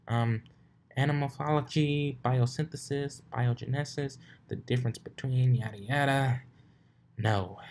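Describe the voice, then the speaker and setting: A bookish young unicorn mare from a storybook, played by a man, speaks in a raised pitch. She is fussy and cautious, explaining things with some hesitation. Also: darkish tone, a little hiss or hum, natural voice. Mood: hesitant, puzzled.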